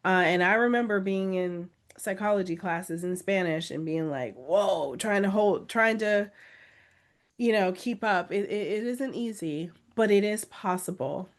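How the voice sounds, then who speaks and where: A woman's voice: medium pitch, a little slow. A woman coaching test-takers on a live video webinar, reading comments aloud and urging them on with emphatic repetition. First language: English. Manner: encouraging, pleading, emphatic repetition.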